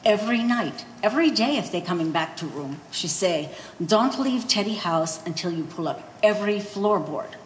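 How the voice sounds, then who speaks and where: A woman's voice: mid-range voice, lively intonation, moderate pace, hardly any pauses, natural voice. A woman playing a blunt old mother who speaks broken English with dropped articles in a live one-act stage play. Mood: urgent, pleading. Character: blunt old immigrant mother of a grown son. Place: art gallery stage reading. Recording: roomy echoing hall, faint noise.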